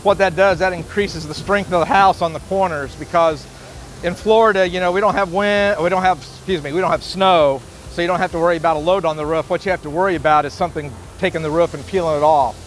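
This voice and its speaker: Higher-voiced middle-aged man explaining informally. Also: fairly even intonation, quick pace, slight background noise. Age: 50s. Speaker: sales director giving a factory tour. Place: large indoor factory floor.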